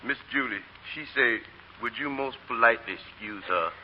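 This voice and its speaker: An actor playing a deferential, courtly household servant in a 1940s radio drama. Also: male voice, medium pitch, moderate pace, natural voice. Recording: muffled 1940 radio broadcast, faint noise.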